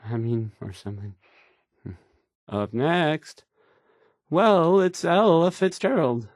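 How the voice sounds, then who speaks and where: A man's voice: on the high side, lively intonation, darkish tone, no echo, studio quality. An actor playing an awkward, insecure young male radio DJ who stammers and gets flustered introducing songs. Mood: nervous. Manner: halting, stammering, flustered, self-doubting. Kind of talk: stumbling radio song introductions.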